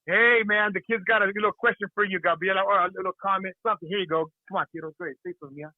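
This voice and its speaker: Brief: excitable male caller, high voice, phone line. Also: rapid-fire, no echo. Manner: eager, stumbling over his words. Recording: dry tinny phone line.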